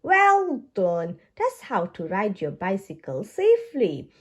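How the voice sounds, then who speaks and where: Woman reading as a friendly, slow-talking storybook police officer. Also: mid-range voice, wildly swooping pitch, pitched below the performer's own voice, heavily acted. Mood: encouraging. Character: friendly police officers instructing young children. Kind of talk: storybook read-aloud.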